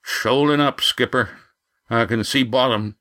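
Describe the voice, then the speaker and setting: A man's voice: mid-range voice, a little slow, bright, forceful tone, no echo. A man voicing a tough, laconic sailor deckhand in a storybook, using rustic seafaring speech. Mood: alert, calm. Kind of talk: audiobook character acting.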